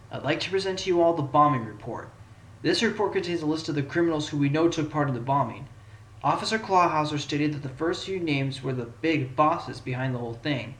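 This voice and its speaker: A man's voice: on the high side, expressive, fairly quick. A man playing a determined, probing fox defense attorney in a fan courtroom audio drama, given to dramatic delivery. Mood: forceful, challenging. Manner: dramatic shouted objections, pondering asides. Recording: roomy echo under loud music.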